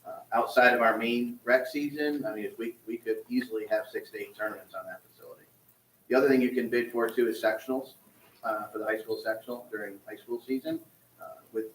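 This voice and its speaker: A man representing a softball league, explaining plans at a town board meeting in an easygoing way with frequent restarts. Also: fairly low pitch, a little hiss or hum. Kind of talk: public meeting discussion. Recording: distant mic in echoey hall.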